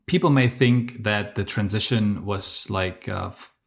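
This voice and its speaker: A man's voice: on the low side, measured pace, creaky. A man, speaking English as an as a podcast guest, telling his story with many fillers and restarts. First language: German. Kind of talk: casual interview talk.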